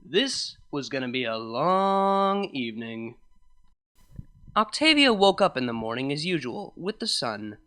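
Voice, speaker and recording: A woman reading a scripted fan fiction story as narrator, in an expressive storytelling manner. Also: deep voice, a little slow, clear, resonant tone.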